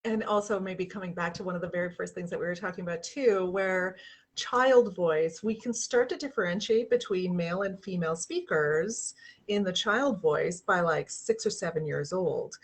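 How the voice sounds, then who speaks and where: A woman hosting a casual video-call interview, laughing often and restarting her sentences, with a relatively low voice. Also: moderate pace. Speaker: host.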